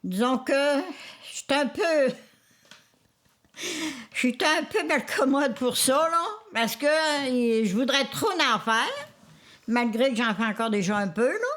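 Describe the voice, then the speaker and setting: A woman in her nineties with a Charlevoix Quebec French accent, reminiscing in a halting, rambling way with many restarts. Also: high voice, expressive, fairly bright tone. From Quebec, Isle-aux-Coudres. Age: 90s. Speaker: interviewee.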